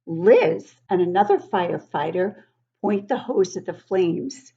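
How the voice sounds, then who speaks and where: A woman reads a children's picture book at an unhurried pace, her pitch moving in lively swings. She stops to explain things simply for young listeners. The audio is somewhat dull and lo-fi. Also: slightly rough. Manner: animated, explanatory, child-directed. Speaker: read-aloud book club host for young children.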